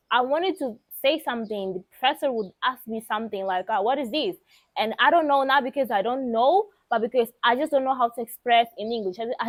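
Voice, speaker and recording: A woman's voice: fairly high pitch, no room sound. A young woman from Mozambique with a Mozambican accent and Portuguese as her native language, telling personal stories casually to viewers in a candid, emphatic way. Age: young adult. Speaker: vlogger.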